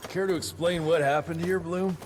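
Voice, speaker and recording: A man voicing a gruff, blunt vampire hunter. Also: on the high side, steady tone, normal speed, background noise, close to an everyday voice. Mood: impatient. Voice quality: blunt, brusque and profane. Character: gruff hard-nosed male vampire hunter, impatient. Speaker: monster hunter hero. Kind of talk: video game cutscene acting.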